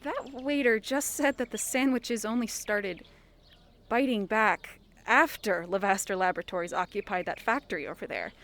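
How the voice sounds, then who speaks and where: A woman's voice: medium pitch, normal speed, fairly bright tone, lightly acted. A woman playing an earnest small-town reporter in an audio drama, straight-laced, with a dry, probing manner. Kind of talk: scripted audio fiction acting.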